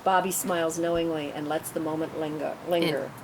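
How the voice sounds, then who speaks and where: A woman with a slightly low voice narrates stage directions at a script table read. She reads them as scripted narration, with clear background noise throughout the recording. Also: fairly even intonation, brisk. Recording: noisy room, rumbling ambience.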